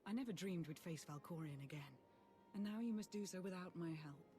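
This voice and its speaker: Woman acting an earnest, low-voiced female adviser. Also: dark tone, natural voice. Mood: concerned, sad. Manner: formal, measured. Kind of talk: video game cutscene acting. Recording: music behind the voice.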